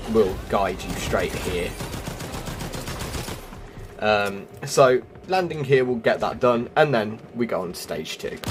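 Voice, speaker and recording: Guy with a lowish voice doing chill casual game guide commentary, music clearly in the background. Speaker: gaming video host. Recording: music behind the voice.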